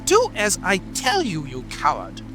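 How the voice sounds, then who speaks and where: A man's voice: high-pitched, huge pitch swings, unhurried, full character voice. A man voicing an irritable, bossy rabbit master in a dramatic audiobook, snapping out angry orders. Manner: angry, imperious, exclamatory. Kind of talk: dramatic audiobook character acting. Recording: music and rain ambience behind. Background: music, rain.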